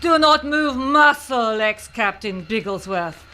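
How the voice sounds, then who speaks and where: A woman's voice: a little high, lively intonation, takes it slowly, sharp tone. A woman in an amateur comic radio play voicing a scheming Soviet spy disguised as a nun, barking orders in a put-on heavy Russian accent. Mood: defiant.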